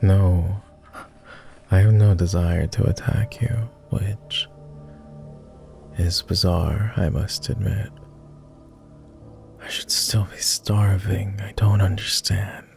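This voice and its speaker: A man playing a weary, courtly vampire lord in an ASMR roleplay, murmuring formally and hesitantly with many pauses, close to the microphone. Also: deep voice, fairly even intonation, gravelly, darkish tone, soft background music, exaggerated voice. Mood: puzzled.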